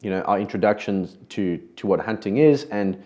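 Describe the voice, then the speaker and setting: A man's voice: fairly low pitch, lively intonation, moderate pace, darkish tone. A man from South Africa with a South African accent, hosting a podcast in a casual, conversational way with frequent restarts. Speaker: podcast host.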